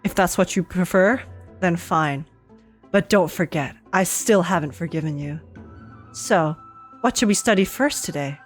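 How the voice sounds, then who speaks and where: A woman's voice: on the low side, a little slow, faint music behind, pitched below the performer's own voice, close to an everyday voice. A woman voicing a stern, commanding male professor with a curt, imperious manner in a dramatic story read-aloud.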